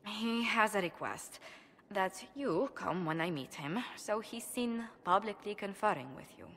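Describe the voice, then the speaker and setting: A woman's voice: medium pitch, animated, unhurried, fairly bright tone, long reverb tail, a little hiss or hum. An actress playing a proud, worried young noblewoman diplomat in a video game, speaking formally, precisely and earnestly. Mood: composed, explaining. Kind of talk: video game cutscene acting.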